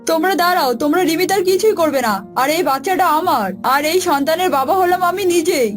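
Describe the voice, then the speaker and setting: A woman's voice: extremely high pitch, fairly quick. A woman voicing an anxious, devoted young groom in a cartoon, earnest and pleading. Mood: protective, pleading. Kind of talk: cartoon dialogue acting. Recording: music bed behind voice.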